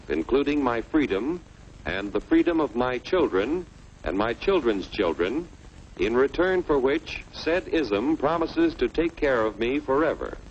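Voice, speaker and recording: A man playing a gullible everyman in a 1940s cartoon, reciting formally and solemnly. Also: mid-range voice. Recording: dull old film soundtrack, faint noise.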